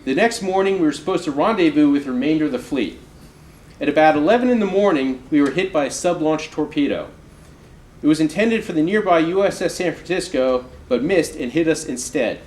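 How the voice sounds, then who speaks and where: Echoey, higher-pitched man reading aloud. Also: expressive, a little fast, darkish tone. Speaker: author reading from their book. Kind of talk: reading an oral history aloud. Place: live reading in a roomy indoor space. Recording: roomy, echoing live recording with noise.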